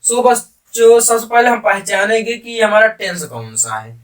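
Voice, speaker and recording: A male grammar teacher with a fairly high-pitched voice. He explains step by step, repeating key terms and asking the class questions as he goes. The microphone sits some distance away from him. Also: very animated, unhurried. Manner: repetitive, question-and-answer teaching, addressing students. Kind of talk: classroom-style grammar lesson in Hindi with English terms.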